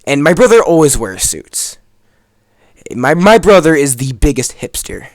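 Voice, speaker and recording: A man's voice: a little high, sing-song, unhurried, no echo. Casual young man rambling through a story, dry recording. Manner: rambling, profane, emphatic. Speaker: video creator telling a story over gameplay.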